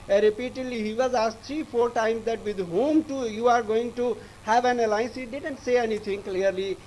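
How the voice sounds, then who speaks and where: A man with an Indian English accent giving a live news report in a halting, repetitive way. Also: very high-pitched, a little mellow, a little hiss or hum, rough recording. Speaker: news correspondent.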